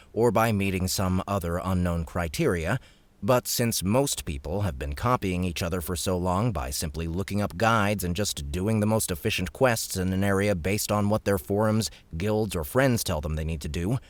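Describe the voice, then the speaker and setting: A man's voice: sits low, dry recording. A man playing a laid-back young gamer turned would-be villain in an audiobook, explaining things in a matter-of-fact way. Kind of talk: audiobook character acting.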